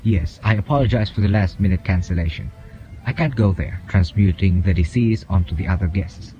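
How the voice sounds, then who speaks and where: A man playing a formal, polite gentleman telephoning his apologies in an amateur stage play. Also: deep voice, a little fast, dull audio, soft background music, natural voice. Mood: apologetic.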